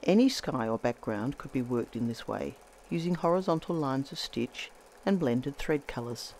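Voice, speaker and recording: A woman narrates a scripted craft demonstration. Her voice is a little croaky from a recent bout of laryngitis. Her pitch moves with lively, singing rises and falls, and her delivery stays measured and gentle. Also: a little hiss or hum. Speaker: textile artist host.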